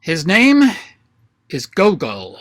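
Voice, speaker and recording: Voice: medium pitch, huge pitch swings, slow pace, dry recording, clean studio sound, exaggerated voice. An actor in a radio play reading, voicing an imperious, scornful president of an anarchist council in a pompous, drily mocking way. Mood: accusing. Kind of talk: radio play read aloud. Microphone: video call.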